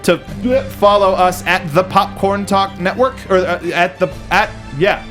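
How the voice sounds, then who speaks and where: A man with a slightly high voice hosts a casual film discussion. He talks with a fan's enthusiasm. Faint music plays behind him. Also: unhurried. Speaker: talk show host.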